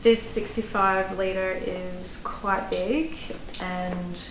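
Australian woman in her 30s, chatty practical hiking vlogger, mid-pitched voice, echoey room with a faint hiss. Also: fairly even intonation, extremely slow. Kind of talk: casual gear advice to camera. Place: small room indoors. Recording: roomy echo, faint background noise.

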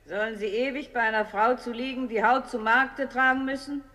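An actress playing a bitter former courtesan who sits as an accusing juror in a radio drama. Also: female voice, on the high side, steady tone, normal speed, sharp tone. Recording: long reverberant hall.

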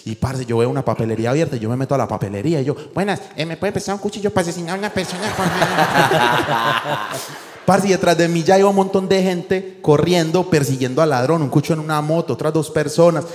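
A man's voice: fairly high pitch, quick pace. A man with a Medellín accent doing live comedy, telling slangy, profane anecdotes and acting out the dialogue. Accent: Colombian, from Medellín. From Medellín, Colombia. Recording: roomy live venue, faint background noise.

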